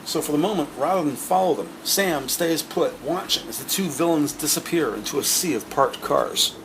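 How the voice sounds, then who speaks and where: A man's voice: on the high side, animated, normal speed, background noise. A man narrating a scripted audio drama with dramatic, present-tense storytelling.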